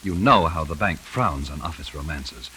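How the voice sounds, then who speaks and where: A stuffy, cautious bank manager in vintage radio drama. He stammers pompously, with pitch rising and falling widely. There is faint noise on the old recording. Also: animated, moderate pace, bright, forceful tone, full character voice, poor audio. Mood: flustered, stuffy. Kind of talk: 1940s radio drama acting.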